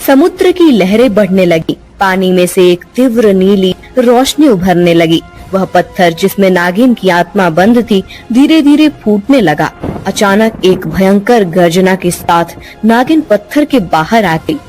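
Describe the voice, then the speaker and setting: A woman narrates a dubbed cartoon story in scripted, steady storytelling. Her voice sits at a middle pitch. Faint music runs under her words. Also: measured pace.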